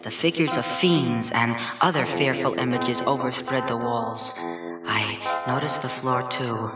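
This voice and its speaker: A man reads a horror tale as a dramatic narrator, deliberate and full of anguish. His voice sounds set back from the microphone in a roomy, echoing vintage recording. Prominent music plays behind him throughout. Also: medium pitch, distant mic. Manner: theatrical, deliberate, anguished delivery. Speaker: story narrator. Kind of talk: dramatic horror audiobook reading.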